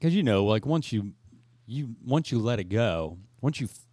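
Animated man in crude podcast banter. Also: mid-range voice, moderate pace, no echo, studio quality. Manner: crude joking. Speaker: podcast co-host. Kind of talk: casual joking banter.

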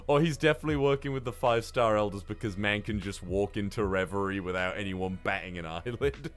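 A man's voice: lowish voice, fast talker, faint music behind. A man hosting a podcast in casual film review chat, talking in a rambling way with plenty of swearing. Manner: profane, rambling. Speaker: podcast host.

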